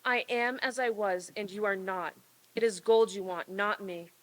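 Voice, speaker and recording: A woman playing a tender young sweetheart in a radio-play reading, earnest and expressive. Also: medium pitch, normal speed, lightly acted. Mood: sorrowful. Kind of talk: old-time radio stage reading.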